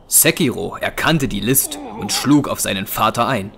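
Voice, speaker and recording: A man's voice: fairly low pitch, moderate pace, fairly bright tone, a little hiss or hum. A man giving scripted narration for a German audio drama. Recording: clear music behind narration.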